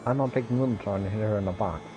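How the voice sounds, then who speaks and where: A man's voice: fairly low pitch, quick pace, music in the background. A man giving casual livestream commentary as he plays a video game. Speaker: game streamer.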